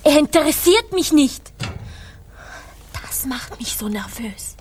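A woman's voice: sits high, expressive, slow pace, a little mellow. A woman playing a restless, dutiful pirate officer in a radio play, speaking in clipped, formal, brooding phrases. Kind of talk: German radio play acting.

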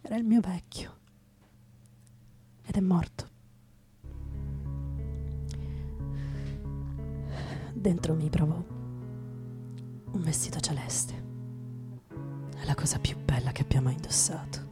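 A woman playing a hard-drinking male poet, bitter and sardonic, in a halting theatrical radio monologue that drops into whispers. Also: sits low, animated, mellow. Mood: hushed grief. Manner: halting, dramatic, repeats words, drops into whispers. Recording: music behind the voice.

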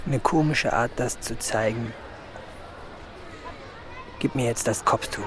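An actor playing a firm, reproachful teenage schoolboy in a radio drama, blunt and confronting, like a disappointed loyal friend. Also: male voice, mid-range voice, slow, crowd noise, background noise, lightly acted. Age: young adult.

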